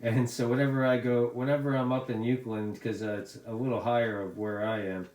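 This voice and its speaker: A man's voice: medium pitch, flat delivery, brisk, darkish tone. A middle-aged American man chatting casually as a CB radio hobbyist, talkative and given to repeating his phrases. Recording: echoey room sound.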